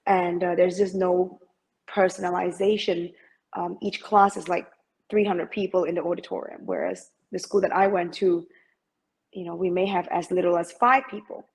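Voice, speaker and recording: A woman's voice: lowish voice, level tone, moderate pace, rough recording. A middle-aged woman from Malaysia, a masters swimmer talking as an interview guest, hesitant with many fillers and restarts. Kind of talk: interview conversation.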